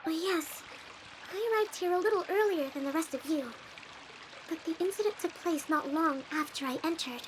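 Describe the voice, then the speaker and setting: A woman voicing a gentle, level-headed young female leader in a video game, measured and encouraging. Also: extremely high pitch, background noise. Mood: thoughtful. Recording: clear game ambience behind.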